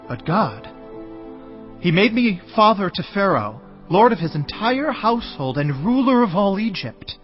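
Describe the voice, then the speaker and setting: An actor playing an emotional, powerful young male ruler in a dramatized audio Bible, speaking in an earnest, declamatory way. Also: fairly high pitch. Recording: dull audio with music underneath.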